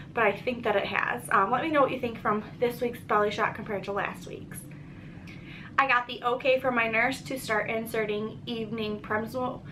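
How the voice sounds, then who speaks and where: A young pregnant woman talks through her weekly update in a loose, chatty way, sprinkling in ums and restarting her sentences. Her voice sits a little high and sounds stuffy and short of breath. A faint background noise runs under the recording. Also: sharp tone, poor audio. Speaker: pregnancy vlogger. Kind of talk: casual pregnancy update vlog.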